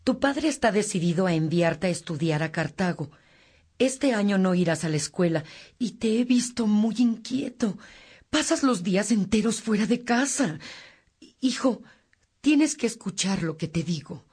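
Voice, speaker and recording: A woman's voice: mid-range voice, expressive, moderate pace, darkish tone, husky. An actress playing a worried, devout and firm mother in a radio drama, earnest and admonishing. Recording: faint crowd murmur behind voice.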